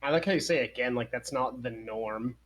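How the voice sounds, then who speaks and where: A man's voice: medium pitch, a little fast, fairly bright tone. A man playing in a tabletop game, cracking jokes with a wisecracking manner. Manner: joking, wisecracking. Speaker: player. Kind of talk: tabletop banter.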